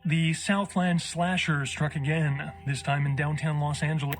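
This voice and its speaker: Casting: actor as TV news anchor, a touch high, earnest, measured formal reporting. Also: male voice, little pitch movement, lightly acted. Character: earnest male news anchor reading odd stories. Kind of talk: scripted news bulletin.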